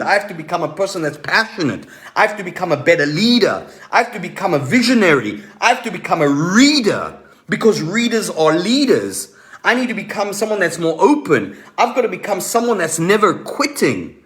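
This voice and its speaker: Higher-pitched man, emphatic motivational coach. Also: fairly bright tone. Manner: emphatic, repeats key questions, deliberate pauses. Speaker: motivational coach, host of a live stream. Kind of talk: motivational coaching talk to online viewers.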